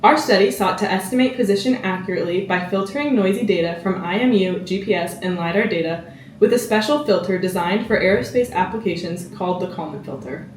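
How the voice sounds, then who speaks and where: A woman's voice: mid-range voice, brisk. A teenage girl from Brooklyn, New York, reading a scripted student research presentation. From Brooklyn, New York, United States. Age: teens. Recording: echoey, distant mic, background noise.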